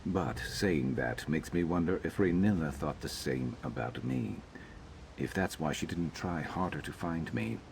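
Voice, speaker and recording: An actor playing a gruff, guarded lizard-man companion in a video game, speaking in a dry, blunt, measured way. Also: a bass voice, darkish tone, a little hiss or hum, heavily acted. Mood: reflective, reluctant. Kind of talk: video game character dialogue.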